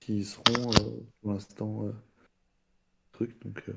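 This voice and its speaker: A man's voice: on the low side, monotone, unhurried, creaky, darkish tone, slightly rough. A man chatting casually as a podcast participant, hesitant and understated in manner. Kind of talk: casual chat.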